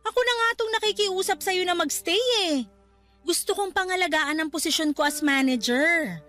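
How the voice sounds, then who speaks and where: A woman's voice: extremely high pitch, moderate pace, pushed and bright, faint music behind. A woman acting an earnest, emotional resort manager with a Filipino accent in a radio drama, reproachful and pleading. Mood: apologetic, pleading. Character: earnest young woman resort manager, wronged but conciliatory.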